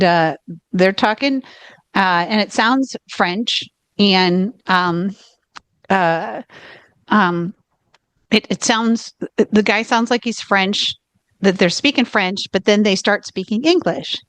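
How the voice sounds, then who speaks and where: A woman's voice: medium pitch, no echo. A woman with a Southern US drawl, co-hosting a podcast and telling a long travel story in rambling casual chat, often stopping on "okay.". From United States. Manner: rambling, keeps pausing on "okay". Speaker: podcast co-host.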